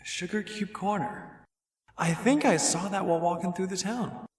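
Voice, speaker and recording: A man voicing a protective, playful young male pony, a big brother, with expressive theatrical delivery. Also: sits high, normal speed, echoey room, voice pushed up, close to an everyday voice. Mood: wondering.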